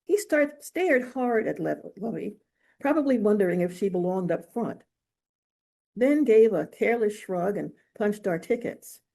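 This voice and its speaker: Woman, gravelly creak, soft dark tone, measured expressive novel reading. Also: mid-range voice. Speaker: author reading from own novel. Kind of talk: audiobook-style literary reading.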